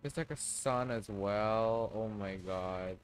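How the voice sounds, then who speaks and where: Slow-talking casual male game streamer. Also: on the low side, faint music behind. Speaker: livestreamer. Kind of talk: casual game livestream reaction.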